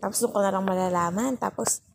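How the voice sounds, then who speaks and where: A woman's voice: medium pitch, fast, gravelly, noisy background, natural voice. A woman with a Filipino accent playing a bossy but caring, worried young girlfriend who scolds and sulks in an intimate ASMR roleplay. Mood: sulky concern. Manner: scolding, sulky, teasing, repeats herself for emphasis.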